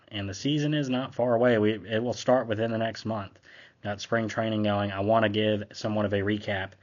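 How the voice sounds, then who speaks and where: Young man, host, slightly stuffy cold voice, low-pitched, casual recap, dull lo-fi recording. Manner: offhand, conversational, reading off a list. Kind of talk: casual sports recap commentary.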